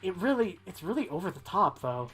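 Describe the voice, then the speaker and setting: An earnest, uneasy schoolboy tells a mystery game's story, voiced by a woman. The pitch sits lowish for a woman and moves about expressively. Delivery is a little hesitant, with music playing underneath. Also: sing-song, brisk. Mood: puzzled, narrating. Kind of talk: amateur visual novel dub with narration.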